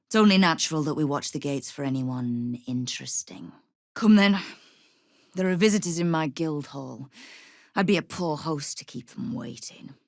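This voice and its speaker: A hard-bitten female guild boss from a fantasy game speaks in a low, gravelly voice, close and dry in the recording. She is sardonic and commanding, used to giving orders and making threats with dry wit. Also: expressive, normal speed, no echo, exaggerated voice. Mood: proud, measured. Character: tough, sardonic woman crime boss ruling a city thieves' guild. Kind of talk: video game character acting.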